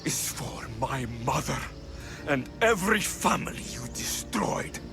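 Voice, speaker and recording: Alexios, intense Greek mercenary, widely varied pitch. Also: male voice, expressive, measured pace, over loud music. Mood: grim resolve. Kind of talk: dramatic video game cutscene acting.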